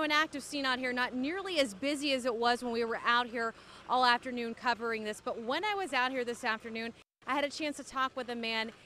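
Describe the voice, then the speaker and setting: A woman's voice: a little high, pushed and bright. An American woman in her thirties giving a television news report from the field. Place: outdoors by farm fields. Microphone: handheld reporter microphone. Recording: light outdoor background noise.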